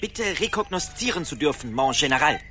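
A man's voice: fairly high pitch, very animated, fairly quick, pushed and bright. A man acting a small, cheeky earwig soldier who is weary of soldiering, in a radio play. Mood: dutiful. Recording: music behind the voice.

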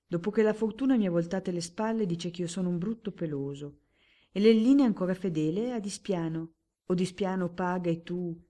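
A woman reading aloud and voicing a ruined male baron, a reckless gambler, with loud, scornful, theatrical speech. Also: fairly low pitch, a little fast, natural voice. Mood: bitter. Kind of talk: audiobook character voice.

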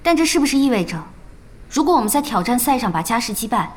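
A determined young gamer in a radio drama. Her fairly high voice is quick and lively, with an earnest tone. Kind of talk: Chinese radio drama acting.

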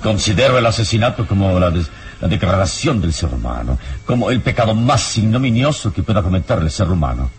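An actor playing a calm, principled, dignified hero in a radio drama, speaking solemnly and formally. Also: male voice, low voice, fairly quick, soft background music. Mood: solemn, resolute.

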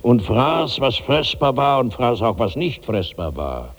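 A man's voice: medium pitch, very animated, unhurried. An actor in a 1960s German radio drama playing a huge, heavy old man tormented by bottomless hunger, in declamatory, incantatory repetition. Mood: insistent. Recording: long hall reverb on old radio recording.

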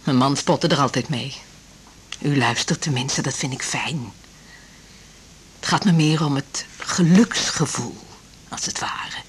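Breathy, chatty fifty-something woman patient. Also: a bass voice, unhurried, pauses often, exaggerated voice. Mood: confiding, chatty. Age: 50s. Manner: rambling, confiding, hesitant. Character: chatty, anxious fifty-year-old divorced female patient. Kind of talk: naturalistic radio drama dialogue.

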